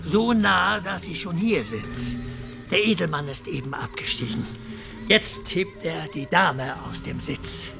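A man playing a blunt, exasperated innkeeper in a radio drama, with a creaky voice. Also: fairly high pitch, expressive, unhurried, music in the background. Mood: brisk. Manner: indignant, blunt. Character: grumbling, exasperated male innkeeper.

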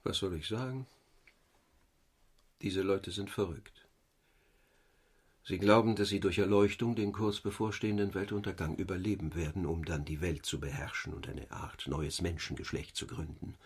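An actor playing a guarded, reluctant company man in a radio drama, husky-voiced and uneasy in manner. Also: sits low, steady tone, moderate pace. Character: evasive, reluctant corporate representative under questioning. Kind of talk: German radio drama acting.

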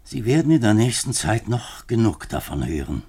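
A man's voice: lowish voice, big pitch swings, moderate pace, mellow, husky, heavily acted. An actor in a 1950s German radio drama playing a troubled, earnest government minister, speaking formally and hesitantly as he confides. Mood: grave, explanatory. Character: troubled male French government minister, reluctant politician, earnest.

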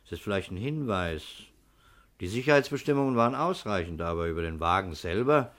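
An actor playing a measured, sceptical fire investigator in a 1960s German radio crime drama, speaking with deliberate understatement. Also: male voice, lowish voice, lively intonation, a bit bright.

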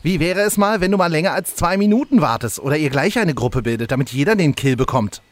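A man's voice: a little high, expressive, fast, no echo, close to an everyday voice. A man voicing a grumpy, impatient male game master who scolds players in an amateur audio drama parody. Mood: irritated.

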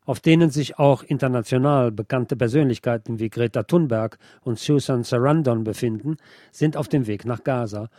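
Mellow man reading political news commentary. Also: medium pitch, no room sound, clean studio sound. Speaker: presenter.

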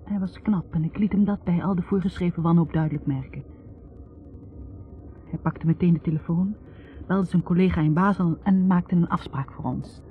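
A woman's voice: on the low side, fairly even intonation, normal speed, slight background noise, lightly acted. An actress playing a self-assured, independent young woman recalling her love in a 1960s radio drama. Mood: wistful, content. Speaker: actor in a radio play. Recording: thin, phone-like 1960s radio recording.

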